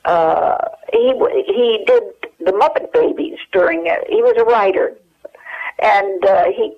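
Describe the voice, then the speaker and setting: An American woman in her eighties reminiscing casually and haltingly as an interview guest over the telephone. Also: mid-range voice. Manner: halting, searching for memories. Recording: dull, lo-fi telephone hook-up.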